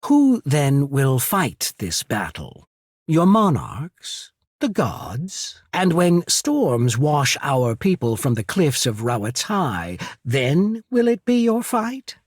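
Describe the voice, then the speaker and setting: An actor playing a shrewd, courteous naval emissary in a fantasy video game, speaking in a measured, formal, persuasive way. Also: male voice, on the high side, huge pitch swings, no echo, studio quality, exaggerated voice. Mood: indignant. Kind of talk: scripted fantasy game dialogue.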